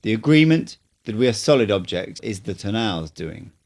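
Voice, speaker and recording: A man voicing an old male sorcerer and teacher in an audiobook, speaking in a measured, instructive way. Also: fairly low pitch, very animated, darkish tone. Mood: explaining. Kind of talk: audiobook dialogue reading.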